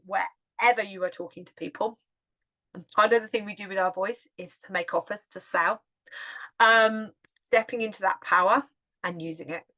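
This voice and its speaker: A middle-aged woman with a Hertfordshire English accent, hosting a podcast in a loud, chatty way and laughing often. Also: mid-range voice, poor audio. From England. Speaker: podcast host and yoga teacher. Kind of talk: casual solo podcast talk.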